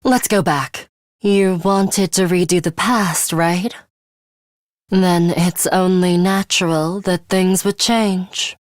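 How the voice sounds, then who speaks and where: A woman's voice: fairly low pitch, steady tone, no room sound, clean studio sound. Stern, composed young female fighter. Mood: cool, analytical. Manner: measured, clipped, serious, matter-of-fact. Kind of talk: English video game voice acting.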